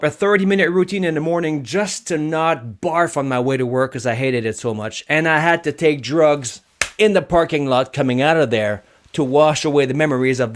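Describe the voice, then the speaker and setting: A man, a guest in an interview, telling a story with lots of energy and restarting his sentences often. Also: fairly high pitch. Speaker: podcast guest, public speaking coach.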